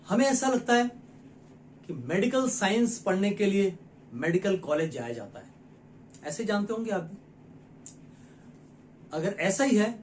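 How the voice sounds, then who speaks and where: A man's voice: sits high, slight background noise, rough recording. A man from India hosting his own health channel, explaining things earnestly and persuasively to his viewers and often asking them rhetorical questions. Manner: addresses viewers directly, with many rhetorical questions; earnest and persuasive, with short pauses between phrases. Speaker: nutrition educator and channel host. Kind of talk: explanatory talk to camera.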